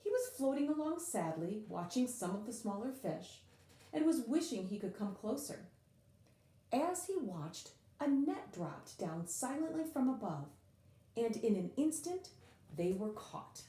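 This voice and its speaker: A woman reading a children's picture book aloud in an expressive, dramatic way, leaning on key words with drawn-out emphasis. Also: fairly high pitch, echoey room.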